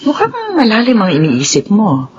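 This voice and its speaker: A woman's voice: mid-range voice, sing-song, normal speed, husky. An actress playing a soothing, concerned wife and mother in a Filipino radio drama, with a Filipino accent. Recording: dull lo-fi sound, faint noise.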